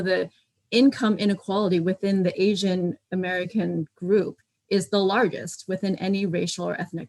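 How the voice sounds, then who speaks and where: Female panelist, lowish voice, articulate and steady, dry video call sound. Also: dry recording, poor audio.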